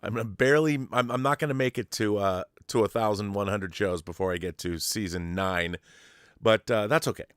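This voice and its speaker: A man's voice: lowish voice, a little fast, a bit bright, clean tone, no room sound, clean studio sound. A man hosting a pop culture show with a grandiose, playful, theatrical manner. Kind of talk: showy scripted-sounding intro and casual fan discussion.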